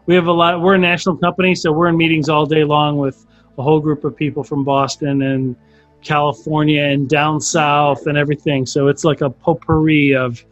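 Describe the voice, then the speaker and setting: A man's voice: fairly high pitch, level tone, a little fast, dull audio, soft background music. A man hosting a podcast interview, chatty and casual, with frequent stumbling restarts. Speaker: podcast host.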